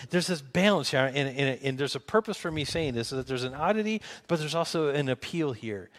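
A man with a Midwestern American accent preaching an informal sermon as a pastor, haltingly rephrasing himself and posing questions to listeners. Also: a little high. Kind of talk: conversational expository sermon.

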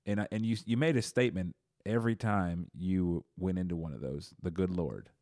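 Podcast host, low-voiced man, encouraging and affirming, dry close sound. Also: brisk, a little mellow, dry recording. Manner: affirming, encouraging, lots of "wow" and "yeah". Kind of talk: casual interview.

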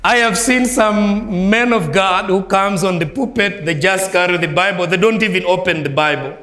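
A man from Malawi with a Malawian accent preaching a sermon as a guest preacher, swinging between soft low phrases and raised ringing lines. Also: moderate pace, reverberant. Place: church.

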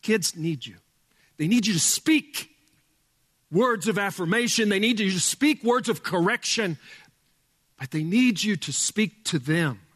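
A male pastor with a loud voice preaching a sermon in a church, emphatic, dropping from booming to hushed. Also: animated, measured pace, long reverb tail. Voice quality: loud speaking voice. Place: church sanctuary with sound board.

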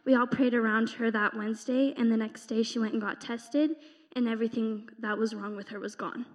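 A woman's voice: a little high, flat delivery, fairly quick. A teenage girl speaking at a youth church service, telling stories in a chatty, upbeat way. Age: teens. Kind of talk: church testimony talk. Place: church sanctuary. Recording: long hall reverb.

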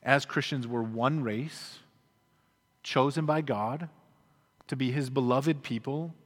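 A man's voice: mid-range voice, slow, fairly bright tone. A pastor delivering an earnest, emphatic sermon to his congregation in a church. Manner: earnest, emphatic preaching with dropping endings. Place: church sanctuary. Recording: reverberant church hall.

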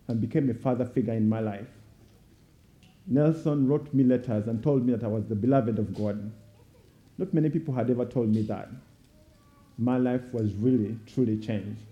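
A man in his thirties with a Ugandan accent gives his testimony as a guest speaker. His voice is very soft-edged and dark, and he tells his story in deliberate, rhetorical phrases. The sound is dull and slightly muffled. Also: medium pitch. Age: 30s. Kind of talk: prepared personal testimony to a congregation. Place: speaking before a live congregation.

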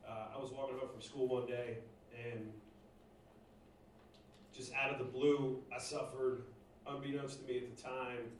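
A man from Northeast Philadelphia giving a halting personal testimony to a church congregation, full of fillers and restarts. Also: medium pitch, flat delivery. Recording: echoey room, distant mic, faint noise.

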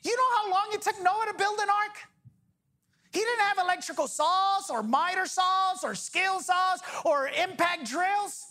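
A man in his forties preaching a sermon as pastor, energetic, joking and firing off rhetorical questions. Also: very high voice, unhurried. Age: 40s.

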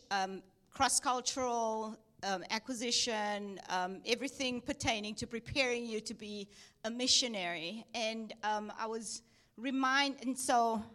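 A woman from South Africa with a South African accent touched by Texas, speaking earnestly at church as a missionary guest, with frequent ums. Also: on the high side. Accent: South African, with some Texan. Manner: earnest, with soft laughs and many ums. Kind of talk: church testimony and exhortation.

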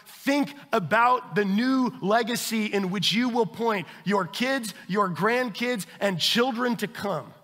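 Pastor guy preaching, high bright voice, big church reverb. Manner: earnest preaching, rising emphasis then hushed, soft reading. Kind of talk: sermon. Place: church sanctuary.

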